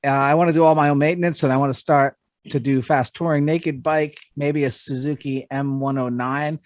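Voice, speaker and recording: Higher-pitched New Jersey man, dry recording. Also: fairly even intonation, normal speed, dry recording. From New Jersey, USA. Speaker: podcast panelist. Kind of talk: casual podcast chat and reading aloud.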